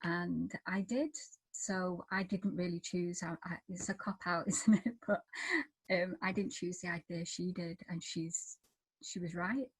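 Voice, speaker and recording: A woman's voice: lowish voice, steady tone, a little slow, breathy edge, rough recording. A woman writer chatting informally and anecdotally as a panelist.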